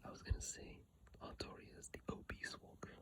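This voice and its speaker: A person whispering in an ASMR style, breathy and airy, reading aloud with informal, halting, unhurried asides into a phone microphone up close. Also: sharp tone. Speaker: ASMR content creator. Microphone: iPhone microphone, close up.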